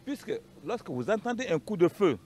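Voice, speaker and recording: A man's voice: high voice, big pitch swings, brisk, a little mellow. A man with a Malian accent giving an unscripted account as a panel guest, emphatic and repeating his phrases.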